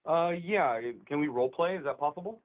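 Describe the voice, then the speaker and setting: A man's voice: on the high side, fairly quick, dull audio. A man talking casually and a little hesitantly, asking questions as a business-owner customer. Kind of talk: casual conversation.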